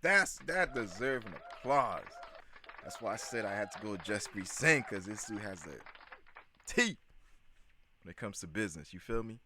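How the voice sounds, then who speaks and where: Hyped man reacting, lower voice. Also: sing-song, normal speed, pushed and bright, slight background noise. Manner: hyped, slangy, repeats himself. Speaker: channel host reacting to a video. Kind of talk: casual reaction commentary.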